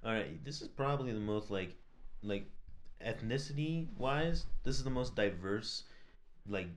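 A man's voice: fairly low pitch, expressive, unhurried, a little hiss or hum. A man guesting on a podcast, chatting casually and rambling with lots of fillers. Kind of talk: casual chat.

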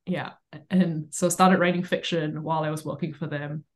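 A woman in her thirties from Singapore, answering interview questions in a relaxed, thoughtful way with many pauses and fillers, over a call. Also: low voice. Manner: relaxed, thoughtful, light laughs, many ums. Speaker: author guest. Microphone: remote call mic.

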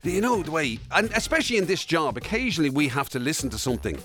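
A man's voice: a little high, big pitch swings, quick pace, a bit bright, soft background music. An Irish man co-hosting a podcast with casual comic banter. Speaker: podcast and radio co-host.

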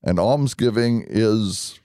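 A man speaking as a deacon and radio host, conversational and earnest, explaining things deliberately. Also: lowish voice, warm tone, no room sound, clean studio sound. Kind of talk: conversational religious radio hosting.

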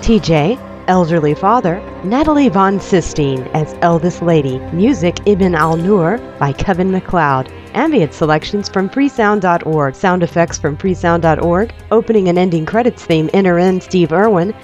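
A woman's voice: on the low side, animated, normal speed, hardly any pauses, darkish tone, muffled recording. A woman reading scripted end credits as the announcer of an audio drama. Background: music.

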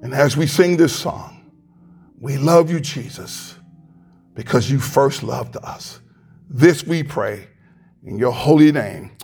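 A man preaching as a pastor, praying and reading scripture in a fervent, deliberate way with a loud voice, into a handheld mic in a church. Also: medium pitch, husky, faint music behind. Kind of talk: sermon with prayer and scripture reading. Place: church sanctuary. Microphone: handheld microphone.

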